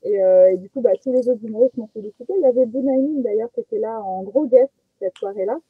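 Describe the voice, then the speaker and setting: A young woman from French Guiana chatting casually as a guest comedian, animated and self-mocking, telling personal anecdotes over a video call. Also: fairly high pitch, fast talker, slightly rough, dry recording. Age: young adult. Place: small attic bedroom. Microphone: headset on a video call. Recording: muffled phone-like video call sound.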